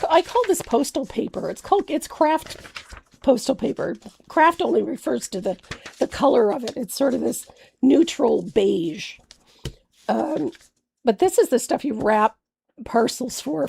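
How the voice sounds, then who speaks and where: Hoarse woman doing a live craft demo, gravelly and kinda husky, higher voice, chatty w viewers. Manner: chatty, greets viewers by name, warm fillers. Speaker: craft painting instructor.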